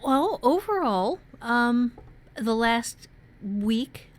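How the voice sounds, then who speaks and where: A woman's voice: a little high, animated, very slow. A woman, an author and writing teacher, chatting casually as a podcast co-host. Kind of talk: casual conversational chat.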